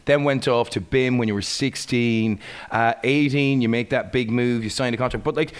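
A man hosting a radio interview, effusive and joking, with quick asides. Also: medium pitch, fairly even intonation, smooth. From Ireland.

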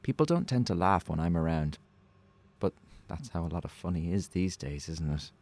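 Nervous, husky, low-voiced man. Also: lively intonation, normal speed, darkish tone. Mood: awkward chatter. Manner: hesitant, rambling, apologetic. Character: nervous, awkward, humourless male radio show contestant. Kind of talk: naturalistic audio drama acting.

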